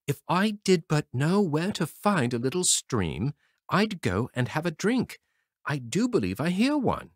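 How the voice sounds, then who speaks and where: A man's voice: a little high, huge pitch swings, unhurried, no echo, studio quality, pitched above the performer's own voice, exaggerated voice. A man voicing an eager, thirsty young boy in a storybook reading, talking insistently.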